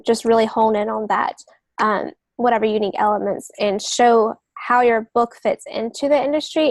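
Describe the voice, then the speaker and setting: A woman's voice: fairly high pitch, creaky, darkish tone, dry recording. A woman speaking as a guest on a writing podcast, giving conversational advice in an earnest, explanatory way. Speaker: guest novelist and literary agent.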